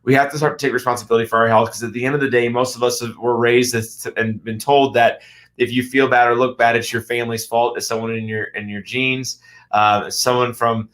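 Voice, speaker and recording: Middle-aged Midwestern man, radio health host; earnest, emphatic delivery with rhetorical questions, mid-range pitch. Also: flat delivery, brisk, fairly bright tone. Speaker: radio show host and chiropractor. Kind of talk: health talk radio monologue.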